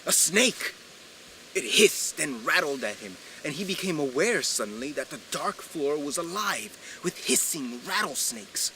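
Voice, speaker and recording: A man telling a folk tale in a theatrical, deliberate way with emphatic pauses, recorded at a live storytelling event. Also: on the high side, sing-song, bright, forceful tone, slight background noise, poor audio. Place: live evening storytelling program, filmed.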